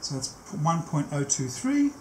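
A man's voice: on the high side, big pitch swings, a little mellow. Guy in his 60s talking thru a hands-on job step by step, roomy echo, bit of background noise. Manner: step-by-step explaining, often pausing mid-task. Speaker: technician demonstrating a tape machine setup. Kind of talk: hands-on demonstration, unscripted walk-through. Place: small cluttered indoor workshop. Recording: echoey room, faint background noise.

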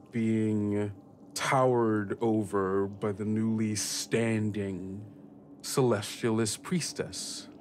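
A man's voice: on the low side, slight background noise. A man hosting and narrating a tabletop podcast as game master, conversational and descriptive.

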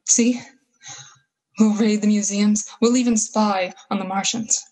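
A woman playing a bitter, scheming survivor soldier in a radio play, talking in slangy, halting, emphatic phrases. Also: mid-range voice, fairly even intonation, mellow, natural voice, poor audio. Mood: fervent, scheming. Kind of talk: 1930s-style radio play acting.

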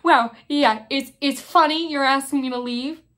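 Woman acting high-voiced, outspoken teenage girl. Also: fairly bright tone. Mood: bitter, defiant. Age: young adult. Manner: halting, conversational, with many likes and restarts. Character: outspoken teenage girl, wry, indignant, nervously defiant. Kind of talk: acted stage monologue, conversational. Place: small plain room indoors.

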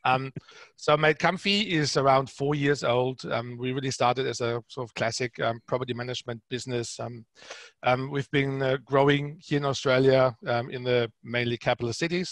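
A man's voice: mid-range voice, a bit bright, no room sound. A man in his thirties from Bavaria with a Bavarian German accent, a company co-founder speaking on a panel, hesitant with many ums. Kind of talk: panel discussion. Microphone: headset.

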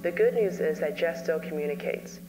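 A woman narrating an analog horror story in a slow, weary, grave voice. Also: deep voice, low-quality recording. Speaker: narrator, therapist. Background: music.

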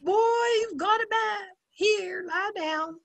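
Woman acting out outspoken motherly older maid, extremely high, sharp, old-time radio comedy. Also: slow, exaggerated voice. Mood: soothing. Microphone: video call computer mic.

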